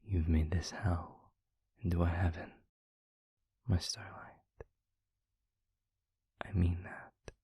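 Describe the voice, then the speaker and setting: A man playing a tender, eloquent demon lover in an intimate audio roleplay, with a breathy, husky, gravelly murmur and florid, deliberate phrasing. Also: deep voice, level tone, warm tone, no echo, exaggerated voice. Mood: tender, earnest.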